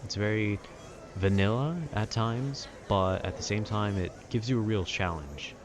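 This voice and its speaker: A man in a calm, casual, nerdy ramble about video games, meandering and often interrupting himself. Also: lowish voice, a little slow, a crowd in the background. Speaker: solo audio creator rambling to the listener. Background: urban ambience.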